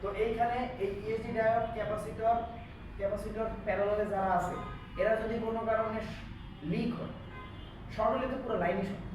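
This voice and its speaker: A Bangladeshi man teaches a technical class at a brisk pace, explaining and checking understanding with questions. His voice sits far from the mic in a very reverberant room, with clear background noise. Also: sits high, flat delivery, dull audio. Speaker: electronics repair instructor. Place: classroom, indoors.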